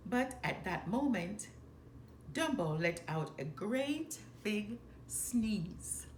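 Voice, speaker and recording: A woman reading a children's story aloud in a deliberate, expressive storytelling manner. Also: mid-range voice. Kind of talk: expressive scripted read-aloud narration for children. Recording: roomy echo, faint background noise.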